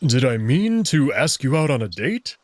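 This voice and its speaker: A man playing an earnest, nervous wolf alien who speaks in formal, halting phrases. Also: medium pitch, very animated, a little mellow, no echo. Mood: unsure, anxious.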